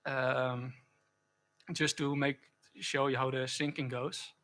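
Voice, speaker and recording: A man giving a technical conference talk and answering questions, speaking haltingly with frequent self-corrections. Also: medium pitch, flat delivery, fairly bright tone. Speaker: conference speaker presenting a project.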